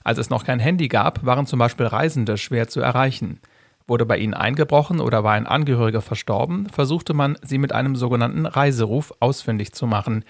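A man's voice: medium pitch, fairly quick, creaky, no echo, clean studio sound. A man reading scripted narration as a reporter in a radio feature.

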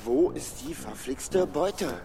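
An actor playing a quarrelsome, dim-witted forest robber in a children's radio play, creaky and blustering. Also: male voice, a little high, crowd noise. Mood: grumpy, irritated. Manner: slow, blustering, bickering.

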